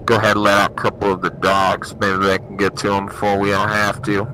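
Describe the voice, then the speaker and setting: A man puts on an angry, commanding gang boss for a tabletop game. The voice is sharp and forceful, barking orders as if into a radio. Faint noise sits behind it. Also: lowish voice, completely flat delivery, fast, poor audio. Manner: shouting, commanding.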